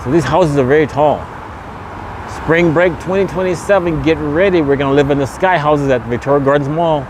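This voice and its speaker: A man with a slightly high voice hosts a walking vlog. He speaks in repetitive, promotional catchphrases. He is recorded outdoors on a street, with clear traffic noise behind him. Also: a little fast. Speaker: vlogger and host. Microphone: handheld camera. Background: traffic and vehicle noise.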